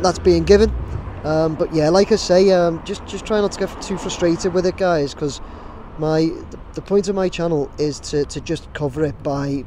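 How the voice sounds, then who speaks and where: A man in his thirties talks casually into a camera held at arm's length outdoors, with a fairly high voice that still sounds mellow and dark. He rambles and keeps restarting his sentences. Faint street noise sits behind him. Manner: rambling, many fillers and restarts. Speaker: drone videographer.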